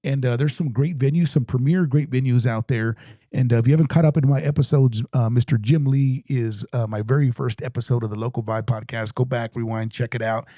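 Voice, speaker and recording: A man's voice: medium pitch, steady tone, fairly quick, dark tone, no room sound, clean studio sound. A man from South Texas hosting a casual podcast, upbeat and rambling, full of fillers. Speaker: podcast host.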